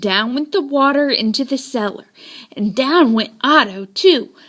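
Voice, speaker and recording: A woman reading a children's story aloud with dramatic, deliberate emphasis, telling it in a child's first person. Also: sits high, expressive, fairly bright tone. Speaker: storybook reader.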